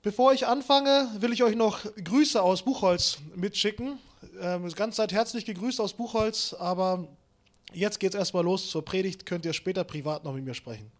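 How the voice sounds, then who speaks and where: A man in his late twenties, born in Kazakhstan, preaches while moving about. His voice sits high for a man. He mixes Bible reading with playful, self-mocking anecdotes. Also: normal speed. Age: 20s. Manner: lively storytelling with self-mocking humor, walks around while preaching. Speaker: pastor. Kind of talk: sermon.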